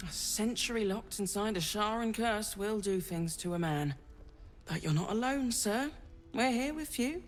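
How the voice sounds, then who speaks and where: A woman voicing a kindly, earnest healer in a fantasy game, gentle and plain-spoken. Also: medium pitch, lively intonation, measured pace, soft background music, close to an everyday voice. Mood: soothing. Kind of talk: dramatic video game acting.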